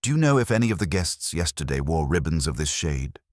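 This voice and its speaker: A man voicing a calm, methodical police inspector in a mystery story, speaking formally and precisely. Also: extremely low pitch, a little fast, no echo, studio quality. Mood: quiet inquiry. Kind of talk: acted dialogue in mystery narration.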